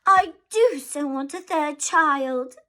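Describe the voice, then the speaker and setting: A woman reading a storybook aloud, voicing a placid, gentle mother. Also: sits high, slow pace, voice pushed up, heavily acted. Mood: tender. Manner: calm, gentle.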